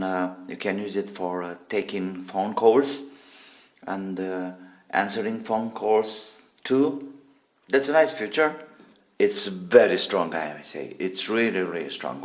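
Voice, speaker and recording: Man, deep pitch, unhurried pace, casual hands-on commentary, halting short phrases, video host. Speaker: host of a gadget teardown video.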